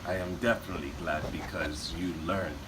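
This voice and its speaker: Deep, melodramatic Bulgarian storyteller played by a man. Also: fairly even intonation, fairly quick, background noise, lightly acted. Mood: earnest. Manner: broken English, dramatic, rambling. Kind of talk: improvised comic in-character storytelling.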